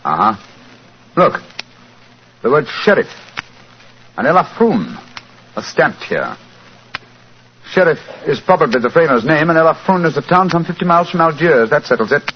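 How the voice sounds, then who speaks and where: An actor playing an astute, courteous English detective with a British accent in 1940s radio style. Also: male voice, a little high, big pitch swings, moderate pace, long pauses. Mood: brisk, deductive. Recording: muffled, noisy 1940s radio recording.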